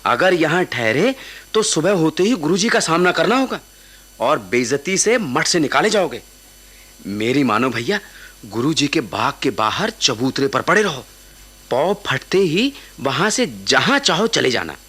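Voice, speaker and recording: A well-meaning watchman in a radio play. His voice is rather high and sharp, swinging animatedly as he gives urgent, brotherly counsel. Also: very animated, measured pace, husky, heavily acted. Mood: urgent warning. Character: kindly, urgent male watchman advising young lovers. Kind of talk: Hindi radio play acting.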